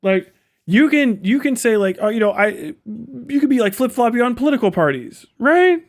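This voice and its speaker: A man's voice: very high voice, big pitch swings, moderate pace. A man hosting a livestream, reacting off the cuff in a casual, rambling, sweary way. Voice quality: frequent swearing, rambling way of talking. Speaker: livestream host reacting to videos. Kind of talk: casual unscripted commentary.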